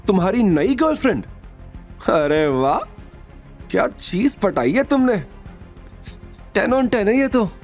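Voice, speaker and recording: A man's voice: sits high, very animated, slow pace, long pauses, faint music behind, voice pushed up, heavily acted. A man voicing an arrogant, mocking young rival in an audio drama, jeering and taunting as he talks. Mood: sneering. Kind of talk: Hindi audio drama acting.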